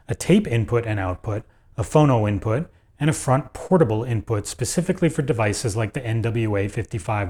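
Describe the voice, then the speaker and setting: A man's voice: lowish voice, a little mellow. A man hosting a video, narrating a script about audio gear in a conversational, opinionated way with dry asides.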